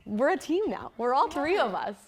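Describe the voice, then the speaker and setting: Woman, podcast host, higher-pitched voice, casual sports chat, playful teasing banter. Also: big pitch swings, normal speed, a little mellow.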